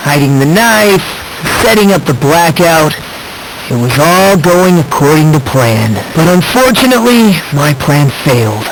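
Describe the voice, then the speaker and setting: Kinda high voiced anime game student boy, creepy polite, hates himself, obsessed with hope, noisy clip. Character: self-loathing, eerily polite, hope-obsessed young male student. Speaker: video game character voice actor. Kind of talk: scripted dramatic anime game dialogue.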